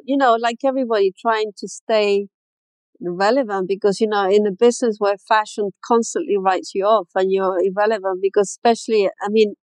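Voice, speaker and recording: A woman in her fifties from Chile, a fashion designer as a guest, chatting candidly and with self-deprecating humor in a relaxed interview. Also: medium pitch, no room sound, low-quality recording. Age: 50s. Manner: candid, self-deprecating, laughs often, many "you know"s. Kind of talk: casual interview chat.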